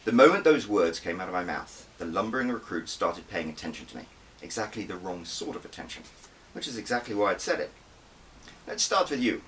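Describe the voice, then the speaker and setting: Deep-voiced man with lively, swooping narration. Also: quick pace, recorded from a distance, a little hiss or hum, poor audio. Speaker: author reading own book. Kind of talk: first-person audiobook narration.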